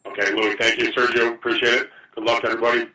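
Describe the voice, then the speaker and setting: A man with a forceful, lowish voice puts questions as a reporter on a conference call. He speaks quickly, and the line sounds roomy and far away. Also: flat delivery. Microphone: phone on a conference call. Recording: roomy, distant teleconference phone line.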